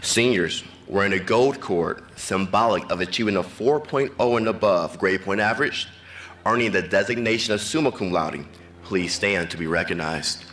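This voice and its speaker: A deep-voiced man reads out graduation honors in a measured, formal manner at a podium mic. A faint hum of the crowd hall sits behind him. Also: fairly bright tone. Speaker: school official announcing honors. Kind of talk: formal ceremony announcements. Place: large ceremony venue.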